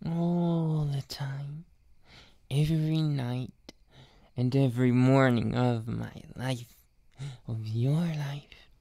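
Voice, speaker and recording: Woman doing a clingy flirty catboy for asmr, really slow n whispery, low soft voice, close and dry. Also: steady tone, no echo, full character voice. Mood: yearning, whispering. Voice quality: breathy whispering. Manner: slow, lingering, obsessively affectionate.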